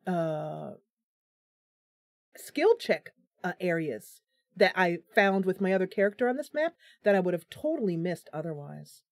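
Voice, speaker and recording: A woman's voice: fairly low pitch, lively intonation, unhurried, no room sound, studio quality. A woman giving casual live commentary as she plays and narrates a roleplaying game. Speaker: game streamer.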